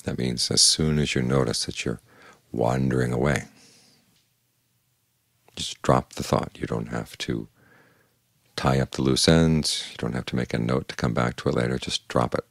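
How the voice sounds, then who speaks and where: Very deep gravelly older monk. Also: male voice, dry recording, studio quality. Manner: calm, measured, plain-spoken teaching. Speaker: Buddhist monk giving a Dhamma talk. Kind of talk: evening meditation talk.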